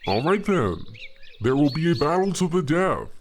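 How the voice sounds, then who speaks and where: Casting: man as self-important village chief, grandiose and theatrical, big swings in pitch, noisy recording. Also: very animated, normal speed. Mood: pompous. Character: pompous, self-declared village chief hunting his rival. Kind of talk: scripted radio-play comedy acting.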